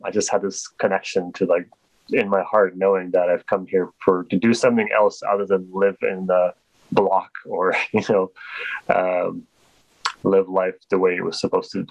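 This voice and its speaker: A man's voice: low voice, no room sound, low-quality recording. A Polish-born man answering interview questions in a rambling, casual way with many restarts. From Poland. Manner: rambling, full of restarts and fillers. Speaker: guest.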